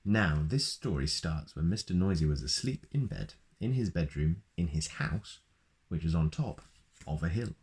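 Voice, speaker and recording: A man's voice: low-pitched, lively intonation, a little slow, a little mellow. A man reading a children's picture book aloud in a playful way, adding sound effects. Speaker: storyteller.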